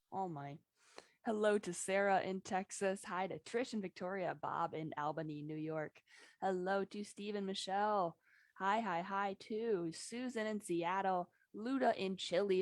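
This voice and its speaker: Woman host w a lowish voice, chatty and welcoming, dry close sound on a live call. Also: no echo. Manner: welcoming, greets people one by one. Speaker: host of an online daily singing gathering. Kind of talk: casual live greetings and chat. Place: live video call from home.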